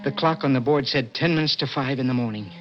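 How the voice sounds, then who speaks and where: A man's voice: mid-range voice, quick pace, lightly acted. An American actor playing an anxious, tense condemned man in a 1960s radio drama, sometimes stammering. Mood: nervous. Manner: tense, stammering under pressure. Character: anxious condemned male prisoner narrating his escape. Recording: muffled old radio, loud music behind.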